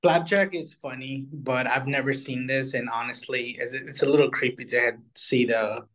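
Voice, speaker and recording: A man's voice: medium pitch, fairly even intonation, moderate pace, a bit bright, low-quality recording. A man co-hosting a podcast, giving his reactions in loose, casual conversation. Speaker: podcast co-host.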